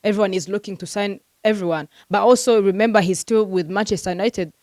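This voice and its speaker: A woman's voice: medium pitch, quick pace, a little mellow, no echo. A woman hosting a football transfer news vlog, talking casually and animatedly to her viewers with lots of fillers, venting like a frustrated fan.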